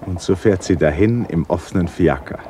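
A man narrating a literary radio drama in a measured, expressive storytelling manner. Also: deep voice. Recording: noisy radio production with ambience. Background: noise, ambience.

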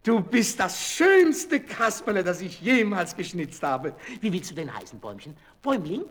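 Fond, animated old puppet maker. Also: male voice, high-pitched, moderate pace. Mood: playful, fond. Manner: exclaiming, talks fondly to his puppets. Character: kindly lonely old puppet maker, tenderly fatherly. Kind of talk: theatrical children's radio play acting.

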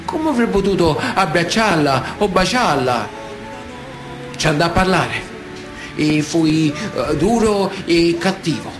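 A man's voice: fairly high pitch, lively intonation, takes it slowly, over music. A man acting a Sicilian mafia man of honour in a radio theatre monologue, earnest and matter-of-fact, with a Sicilian accent. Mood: anguished, halting.

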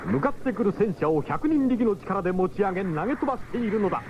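A man's voice: sits high, lively intonation, quick pace, background noise. A man narrating a 1970s radio drama in a dramatic scripted style. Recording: muffled 1970s radio broadcast, music behind.

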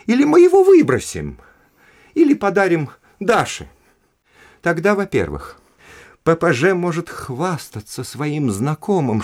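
An actor in an audio drama playing a haughty, indignant aristocratic official who speaks in an emphatic, scornful and domineering way. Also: male voice, sits high, darkish tone, exaggerated voice. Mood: agitated, scornful. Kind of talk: Russian audio drama acting.

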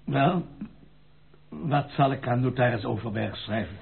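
An actor playing an aging, anxious grandfather and general in a Dutch radio play, pleading in a gravelly older voice. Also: medium pitch, measured pace. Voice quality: creaky, gravelly. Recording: narrow-band tinny radio play recording.

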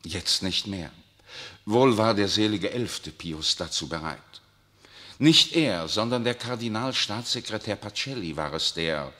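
A worldly papal nuncio in a 1960s radio drama. His low voice moves a lot and rings bright. He speaks in a suave, ironic, lecturing way. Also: heavily acted. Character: urbane worldly male papal nuncio, middle-aged. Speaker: actor in a radio play.

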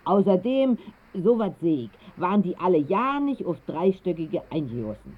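A woman's voice: on the low side, sing-song, moderate pace. An actress playing a spirited, tipsy young woman in a 1960s German radio drama, with colloquial, cheeky speech. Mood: indignant. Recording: tinny band-limited 1960s radio recording.

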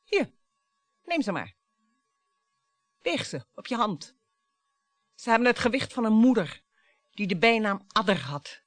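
A woman's voice: medium pitch, sing-song, measured pace, pauses often, no echo, faint music behind. A woman in a Dutch radio play acting a bitter, sarcastic wife who needles her husband in a theatrical, storytelling way. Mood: bitter, sardonic.

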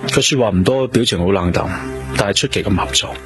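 An actor playing a composed, analytical police detective in a radio drama, terse and probing, with a Hong Kong Cantonese accent. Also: male voice, on the low side, fast talker, music in the background, close to an everyday voice. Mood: calm, analytical. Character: sharp, composed male police detective, wry and driven.

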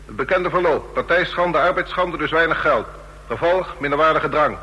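An actor in a radio play playing a harsh, pompous judge who declaims in stern, clipped phrases. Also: male voice, on the high side, normal speed, sharp tone, breathy edge, lo-fi sound. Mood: cold contempt. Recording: reverberant hall, old radio play recording.

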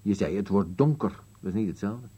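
An actor playing a gloomy, contrary elderly husband in a radio play, speaking in terse, musing contradictions. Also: male voice, lowish voice, expressive, brisk, mellow, slightly rough, dull audio. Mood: pedantic, irritated. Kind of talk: Dutch-language radio play acting.

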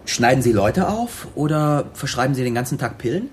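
A man's voice: medium pitch, very animated, a little fast, slight background noise, natural voice. An actor playing a pushy, falsely friendly young man in a naturalistic radio drama, cheeky and provoking. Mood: nosy, needling.

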